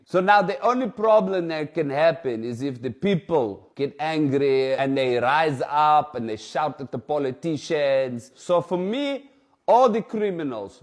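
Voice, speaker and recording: A man's voice: a little high, voice pushed up. A man voicing a boastful, scheming male Czech gangster in a satirical sketch, with a put-on Czech accent and a gloating, conspiratorial way of talking. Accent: put-on Czech accent, exaggerated.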